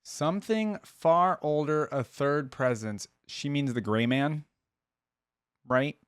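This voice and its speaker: A man's voice: fairly high pitch, slow, faint music behind. A man hosting a reaction video, commenting casually and musing aloud. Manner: musing aloud, echoing lines he hears.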